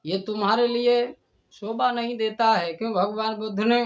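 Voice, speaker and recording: A man's voice: high voice, fairly even intonation, normal speed, highs cut off. A man delivering an indignant, oratorical admonishment, scolding someone by direct address. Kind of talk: admonishing monologue addressed to a rival and the community.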